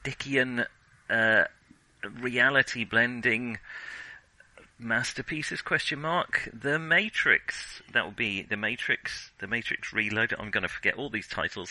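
A man's voice: fairly low pitch, bright, forceful tone, no echo, rough recording. A man co-hosting a casual film discussion, speaking hesitantly and often correcting himself as he searches for words. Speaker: podcast co-host.

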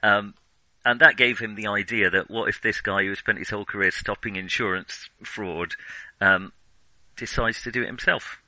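A man with a lowish, very bright and forward voice, recorded close and dry with no room around him. He talks through a film in casual podcast chat and keeps pausing on ums and restarting his sentences. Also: fairly even intonation, no echo, low-quality recording. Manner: hesitant, many ums and restarts. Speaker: podcast co-host.

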